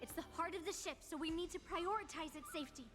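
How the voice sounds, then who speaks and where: A woman's voice: very high-pitched, fast talker, over music. A woman playing a bold, bossy young girl warrior in a video game, brash and commanding. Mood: brisk.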